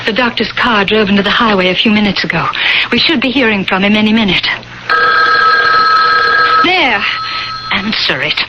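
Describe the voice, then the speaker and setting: An actress playing a seductive, sinister enchantress who feeds on men's youth, with coaxing, deliberate delivery in a radio mystery drama. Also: female voice, mid-range voice, natural voice. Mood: controlled, falsely polite. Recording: noisy, muffled old radio broadcast.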